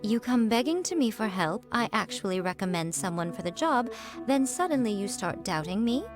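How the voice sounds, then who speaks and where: A woman voicing a sly, teasing shrine priestess in a video game, with an unhurried way of talking. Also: mid-range voice, very animated, over music, natural voice.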